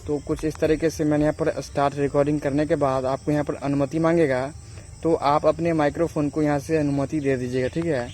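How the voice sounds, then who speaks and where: A man's voice: a little high, darkish tone, a little hiss or hum. A man hosts a step-by-step phone tutorial in a repetitive, instructional way with frequent check-in tags. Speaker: tech tutorial host.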